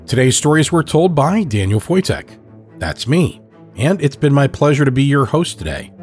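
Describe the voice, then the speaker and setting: A man hosting a podcast, reading scripted closing credits in a brisk, projected way. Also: mid-range voice, a little mellow. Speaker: podcast host. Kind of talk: scripted show credits announcement.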